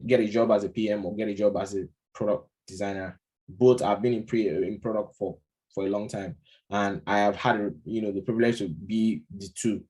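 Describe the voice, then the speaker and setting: A man's voice: lowish voice, a little mellow. A man with a Nigerian accent giving an unscripted lesson, talking conversationally with plenty of fillers and restarts. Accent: Nigerian English. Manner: conversational, many fillers and restarts, occasional chuckles. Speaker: product management instructor. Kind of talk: casual unscripted lecture.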